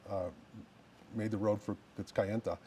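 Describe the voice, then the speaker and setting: A man of about fifty from New Mexico, a firefighter running for office, answering interview questions politely and plainly, with halting restarts. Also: lowish voice, little pitch movement, dark tone, a little hiss or hum.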